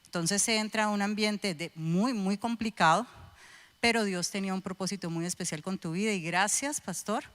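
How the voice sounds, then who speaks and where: A middle-aged woman with a Colombian accent giving a personal testimony speech as a guest at a church, speaking in an emphatic, deliberate manner. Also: mid-range voice, fairly bright tone. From Cúcuta, Colombia.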